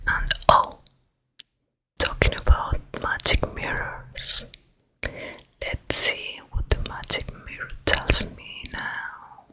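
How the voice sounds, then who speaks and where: A woman acts out a vain, wicked fairytale queen for an ASMR roleplay. She whispers throughout, breathy and crisp, with a bright edge. The words come extremely slowly and deliberately, full of scheming self-regard. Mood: vain, summoning. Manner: slow, deliberate, repeating words. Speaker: ASMR performer.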